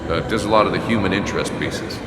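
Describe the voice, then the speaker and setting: An actor playing a friendly, sincere journalist colleague in a game, speaking informally and a little hesitantly. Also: male voice, low-pitched, steady tone, fast, background noise, lightly acted. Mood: friendly, casual. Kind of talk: conversational game acting. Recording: cavernous reverb under loud music. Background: loud eerie music.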